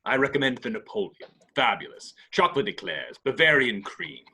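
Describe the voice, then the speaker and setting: A man's voice: medium pitch, animated, normal speed, pushed and bright, breathy edge, full character voice. A man playing a grandly hospitable, coaxing villainous pirate captain in a table read of a film script. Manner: theatrical, coaxing.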